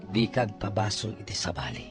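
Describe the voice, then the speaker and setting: A man's voice: on the low side, animated, brisk, faint music behind, lightly acted. A man acting a stern, cold, reproachful husband in a melodrama, speaking firmly with a Filipino accent.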